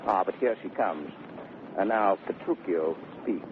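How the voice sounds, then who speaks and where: An actor playing a brash, teasing gentleman suitor in 1930s radio Shakespeare, declaiming with mock sweetness. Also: low voice, measured pace. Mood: scheming, confident. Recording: tinny, noisy 1930s radio recording.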